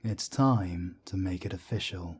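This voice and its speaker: A man playing a wry, world-weary werewolf council elder in an audio roleplay drama, speaking in a measured, deliberate way. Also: slight background noise. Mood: grave, instructive. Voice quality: deep, husky.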